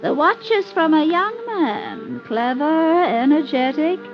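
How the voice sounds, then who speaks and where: An actress playing a grandiose middle-aged phony spirit medium, intoning melodramatically in old-time radio drama style. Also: female voice, high voice, lively intonation, slow, full character voice. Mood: mystical, revealing. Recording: muffled old radio recording, organ-like music behind.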